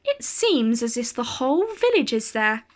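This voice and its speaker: Female storyteller, higher-pitched voice, for an expressive picture-book read-aloud with deliberate, dramatic phrasing.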